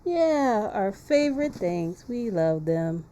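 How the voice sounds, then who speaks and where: A woman's voice: medium pitch, sing-song, slow pace, dark tone. A woman chatting casually and informally as she hosts a game live stream, often repeating her phrases. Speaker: streamer hosting a visual novel playthrough. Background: music behind the voice.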